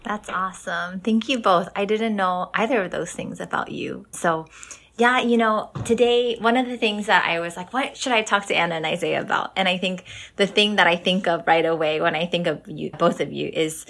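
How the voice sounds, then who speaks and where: A woman hosts a podcast interview in a lowish voice. She talks casually and loosely, with plenty of ums and likes. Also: moderate pace, creaky. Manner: warm scripted intro, then chatty with fillers. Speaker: podcast host.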